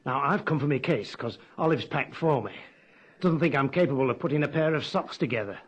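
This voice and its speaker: An actor playing a sly, cheerful middle-aged father in a radio drama, gruffly teasing and conspiratorial. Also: medium pitch, animated, brisk, highs cut off.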